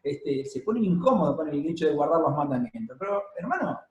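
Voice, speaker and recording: A man preaching a sermon to a congregation, explaining at a measured pace with many pauses and fillers. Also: on the high side, sing-song, darkish tone, muffled recording. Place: church hall, congregation present and streamed. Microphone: handheld or stand microphone. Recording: echoey room.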